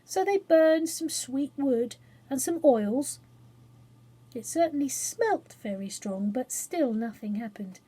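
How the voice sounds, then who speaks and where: A woman reads a children's story in a fairly high, slightly husky voice. She is expressive, leaning on key words and pausing for drama. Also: rough recording. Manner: expressive, dramatic pauses and emphasis. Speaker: storyteller reading a children's book. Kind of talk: animated children's story narration.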